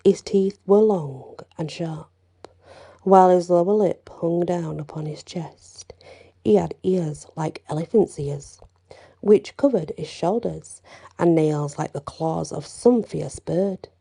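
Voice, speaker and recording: Woman, folktale reader, gravelly, slow, whispery asides. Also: on the low side. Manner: slow, hushed, with whispered passages and casual asides. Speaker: storyteller. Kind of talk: reading a folktale aloud in first person.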